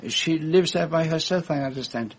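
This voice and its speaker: An actor playing a courteous, shrewd foreign detective, formal and probing in a radio drama. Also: male voice, a little high, moderate pace, slight background noise, lightly acted. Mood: smoothly probing. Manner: formal, elaborately polite, probing.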